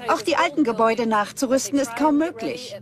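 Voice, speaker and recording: A woman's voice: high voice, fairly quick, a crowd in the background, lightly acted. A woman voicing a logical, sceptical scientist in a documentary dub. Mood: sober, concerned. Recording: music under the voice.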